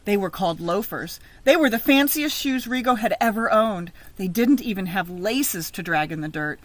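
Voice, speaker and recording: A woman reading a children's story aloud in an expressive, teacherly narrating style. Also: mid-range voice, normal speed, no room sound.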